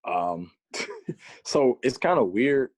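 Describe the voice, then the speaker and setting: A man's voice: mid-range voice, sing-song, very slow, dry recording. Easygoing man in his twenties, dry sound. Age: 20s. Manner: easygoing, reflective, light laughs. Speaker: physical education teacher, guest. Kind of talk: conversational interview answer.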